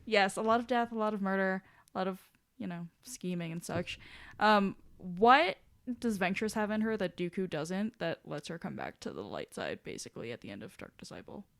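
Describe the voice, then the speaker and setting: A woman's voice: mid-range voice, expressive, normal speed, vocal fry, hardly any pauses, a bit bright. A woman co-hosting a casual podcast discussion, thinking aloud with hesitant, thoughtful phrasing. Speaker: podcast co-host.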